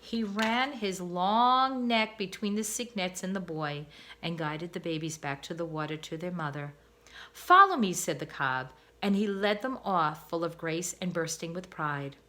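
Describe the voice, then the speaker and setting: A woman's voice: lowish voice, unhurried, fairly bright tone. A woman teacher reading a children's novel aloud, careful with small slips. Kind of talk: storybook read-aloud.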